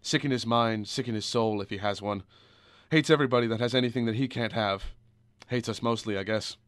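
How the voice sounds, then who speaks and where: A man's voice: mid-range voice, a little fast, a bit bright, clean tone, dry recording, natural voice. An actor playing a kindly, weary, principled small-town father in a radio drama. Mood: weary, bitter. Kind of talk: old-time radio drama acting.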